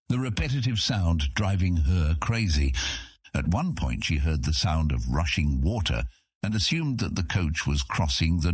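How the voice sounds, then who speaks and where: Male fantasy audiobook narrator: very low, rough-edged voice, animated intonation, dramatic scripted read. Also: no echo, studio quality.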